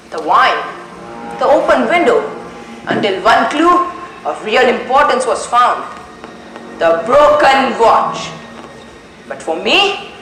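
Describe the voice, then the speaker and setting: A young performer in a school play acting as a male detective, declaiming with deliberate, dramatic pauses. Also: mid-range voice, pushed and bright, heavily acted. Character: theatrical male detective solving a murder. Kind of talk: amateur school stage play monologue. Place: school stage. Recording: echoey stage recording with music behind.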